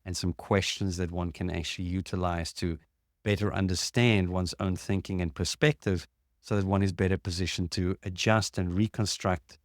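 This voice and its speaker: Deep-voiced man explaining calmly. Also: dry recording, studio quality. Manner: measured, explanatory, with frequent fillers. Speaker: podcast host and clinician. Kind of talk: casual conversational explanation.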